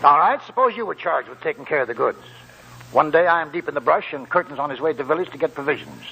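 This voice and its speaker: An actor playing a wily, cheerful old gold prospector with a gravelly, cackling voice and folksy, chuckling, drawn-out phrasing in a 1940s radio drama. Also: male voice, fairly high pitch, animated, pushed and bright, slight background noise, exaggerated voice, low-quality recording. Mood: wry, reflective. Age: older.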